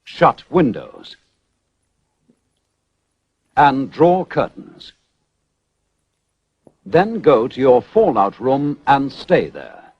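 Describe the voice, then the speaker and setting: A man's voice: medium pitch, very animated, breathy edge, no room sound. Formal British male narrator, dull vintage soundtrack. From United Kingdom. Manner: measured, formal, instructional. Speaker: public information film narrator. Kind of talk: scripted narration, 1970s civil defence announcement. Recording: dull, band-limited 1970s film soundtrack.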